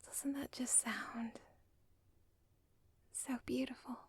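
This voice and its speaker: A lovesick villain's daughter, played by a woman whispers close to the mic, rambling through asides about her own story. Her voice is gravelly and husky, and she drawls slowly. The sound is dry, with no room around it. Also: a little high, fairly even intonation, heavily acted. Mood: obsessive. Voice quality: soft whisper. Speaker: audio roleplay performer. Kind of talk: ASMR audio roleplay.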